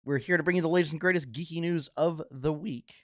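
A man in his thirties hosting a casual gaming news podcast from home on a headset, slightly husky from a cold, talking with lots of fillers and restarts. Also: a little high, fairly bright tone, no echo, clean studio sound. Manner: halting, many fillers and restarts. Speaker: podcast host. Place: home room full of collectibles.

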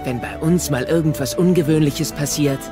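A man plays a good-hearted young Viking in a cartoon audio drama. His voice is high and wryly self-deprecating, with music behind it. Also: moderate pace, natural voice. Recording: voice over music bed.

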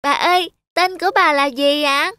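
A woman's voice: sits high, steady tone, moderate pace, pushed and bright, no room sound, studio quality, pitched above the performer's own voice. A woman voicing a sweet, curious little girl who speaks politely and eagerly, in a cartoon. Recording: music bed under voice.

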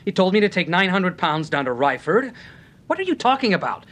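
An actor playing a jittery, slangy club owner with gangster bluster in an old radio drama, excitable and wisecracking. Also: male voice, fairly quick, heavily acted. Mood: indignant, alarmed. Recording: rumbly, noisy old radio recording.

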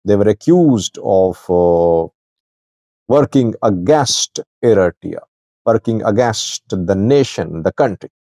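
Male news commentator, middle-aged. Soft-edged tone, halting short phrases, fairly dry close clip-on sound. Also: lowish voice, expressive, no echo. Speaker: news commentator, channel host. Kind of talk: informal political news commentary to camera. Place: small indoor office with wood-panelled wall. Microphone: small clip-on lavalier at chest.

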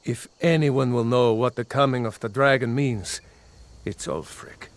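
An actor playing a loyal, earnest, plain-spoken rebel soldier in a fantasy video game. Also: male voice, medium pitch, measured pace, close to an everyday voice. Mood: earnest, persuasive. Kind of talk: video game dialogue acting.

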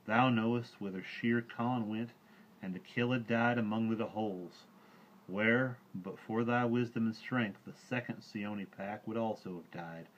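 A man's voice: on the low side, measured pace, muffled recording, slight background noise, voice pushed up, natural voice. A man voicing a wise old male bear teacher, speaking with grave, formal old-fashioned gravity.

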